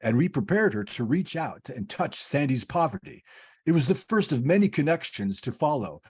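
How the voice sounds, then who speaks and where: A man playing a calm, patient senior angel who explains things in the scripted style of an old-time radio play. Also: fairly high pitch, husky. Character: senior male angel Joseph, calm, patient, instructive overseer.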